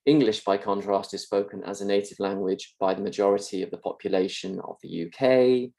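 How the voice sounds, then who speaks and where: Male linguistics lecturer, low gravelly voice, measured delivery. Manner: measured, explanatory, calm. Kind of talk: scripted mock lecture.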